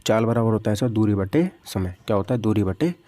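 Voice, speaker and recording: Mellow low-voiced man teaching. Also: expressive, brisk, no room sound. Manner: repeats questions and answers, asks and answers himself. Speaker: physics teacher for exam coaching. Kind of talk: lesson working through practice questions.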